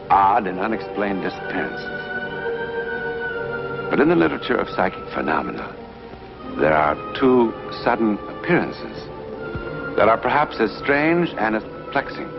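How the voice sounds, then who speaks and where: An American man giving measured, portentous scripted narration in the style of a 1950s TV mystery. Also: low-pitched, gravelly. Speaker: host and narrator of anthology show. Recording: dull vintage TV soundtrack with music.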